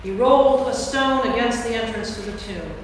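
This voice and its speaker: A woman's voice: a little high, normal speed. A woman priest reading scripture aloud as the narrator of a staged reading. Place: church, live before audience. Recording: very echoey church, distant mic, faint noise.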